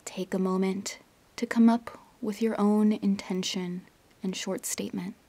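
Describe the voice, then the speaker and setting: A woman guides a meditation in a soft, husky near-whisper with a creaky edge. Her delivery is gentle and very even in pitch, with long pauses between instructions. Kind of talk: guided yoga nidra meditation.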